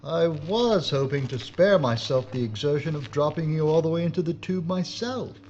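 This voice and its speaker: An actor playing a gloating, traitorous police inspector and killer in an audio drama, theatrical, smug and menacing. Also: male voice, on the high side, moderate pace, a little mellow, highs cut off, slight background noise, natural voice. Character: gloating traitorous chief inspector, murderous Nazi saboteur.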